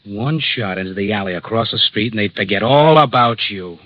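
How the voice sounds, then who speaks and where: Guy playing tough old-radio gangster, low husky voice, curt threats, muffled recording. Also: normal speed, dry recording, lightly acted. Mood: menacing. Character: menacing escaped male convict holding a man at gunpoint. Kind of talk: 1950s radio crime drama acting.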